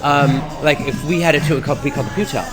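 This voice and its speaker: Male talk co-host, slightly high voice, halting and repetitive, casual reminiscing, clear music underneath. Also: steady tone. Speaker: co-host of a web talk show.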